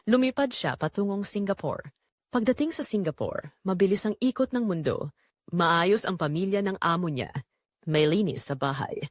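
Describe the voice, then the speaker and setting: A woman's voice: mid-range voice, no echo, studio quality. A woman with a Filipino accent narrating a scripted dramatic story as a storyteller.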